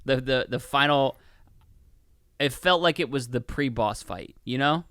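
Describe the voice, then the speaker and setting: A man's voice: medium pitch, a bit bright, smooth, no echo, clean studio sound. Male co-host for a film podcast, clean mid-range voice, informal and meandering, stop-and-start delivery. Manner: rambling, halting, heavy use of "like". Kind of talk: casual film review chat.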